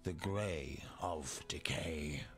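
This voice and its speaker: An actor playing a mournful, proud doomsayer of a fallen empire in a video game, theatrical and incantatory. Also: male voice, a bass voice, very slow, a bit bright, heavily acted. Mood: ominous chanting. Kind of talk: scripted game character dialogue. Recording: music behind the voice.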